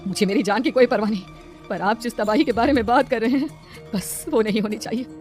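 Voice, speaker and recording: Woman playing an anxious, quick, high-voiced village aunt. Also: a little mellow, soft background music, natural voice. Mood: anxious pleading. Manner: urgent, pleading. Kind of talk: Hindi horror cartoon voice acting.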